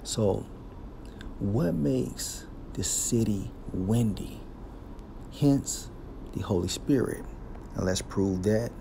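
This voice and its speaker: A man teaching scripture, reading verses aloud slowly and explaining each one with a deliberate delivery. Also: lowish voice, vocal fry, mellow, a little hiss or hum. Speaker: Bible teacher.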